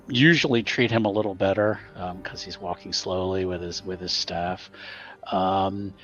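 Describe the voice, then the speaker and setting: A man's voice: on the low side, highs cut off. A man casually improvising what his roleplaying character has been doing, hesitant and understated. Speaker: tabletop roleplaying player.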